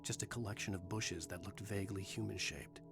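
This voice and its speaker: Thriller narrator, lowish voice, grave, measured literary reading, music behind. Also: male voice, steady tone, creaky. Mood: brooding. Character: brooding male narrator of a dark thriller novel.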